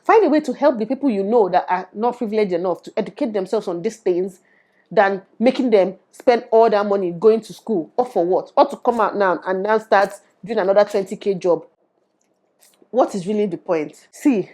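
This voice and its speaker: Brief: young Nigerian woman, Nigerian accent, rapid animated vlog delivery, mid-pitched. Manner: animated, switches into Pidgin. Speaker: YouTuber. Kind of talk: opinionated to-camera vlog. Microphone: lapel.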